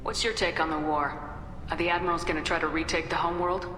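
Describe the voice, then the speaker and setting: A woman's voice: on the low side, steady tone, fast talker, lightly acted. Woman as blunt starship commander, echoing hall. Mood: businesslike. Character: bold female starship commander, blunt and defiant. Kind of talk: video game voice acting. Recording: echoey hall reverb, music behind.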